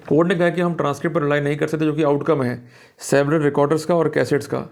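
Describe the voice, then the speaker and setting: A man's voice: on the high side, vocal fry. A man with an Indian accent lecturing on law in a didactic way, reading passages out and explaining them. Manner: didactic, checks understanding with short questions; reads English passages slowly and deliberately, explains quickly in Hindi.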